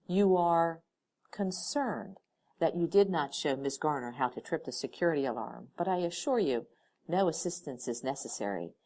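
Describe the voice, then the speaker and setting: A woman voicing a formal, unflappable and over-precise gentleman visitor in an audiobook story. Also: deep voice, lively intonation, normal speed. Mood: calm, knowing.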